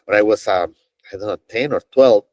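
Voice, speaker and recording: Man, middle-aged, halting interview guest, dry close mic. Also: fairly low pitch, darkish tone, no echo. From Chile. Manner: halting, many fillers and restarts, storytelling. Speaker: NASA engineer, guest. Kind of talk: conversational interview answers.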